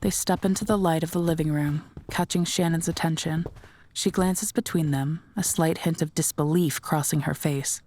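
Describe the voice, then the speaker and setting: Low-voiced woman narrating audio drama. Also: steady tone, normal speed, a little mellow, slight background noise.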